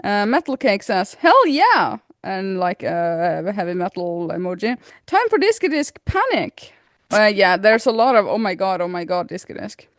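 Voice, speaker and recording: A woman co-hosts a casual podcast, her voice jumping up and down with lively energy as she chats and reads out comments. The sound is dry and close, with no room around it. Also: mid-range voice, moderate pace, dry recording. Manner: animated, laughs often, reads listener comments aloud. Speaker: podcast co-host. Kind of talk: casual chat.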